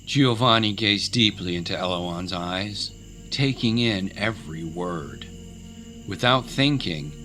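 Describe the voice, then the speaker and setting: A man narrating a scripted dramatic story in a measured, solemn, literary way. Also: lowish voice.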